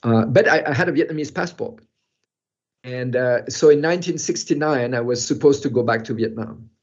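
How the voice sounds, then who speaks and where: An older man who grew up in Europe, speaking as a guest in an online talk and telling a personal story in a halting, reflective way with soft laughs. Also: medium pitch. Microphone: video call. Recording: muffled video call audio.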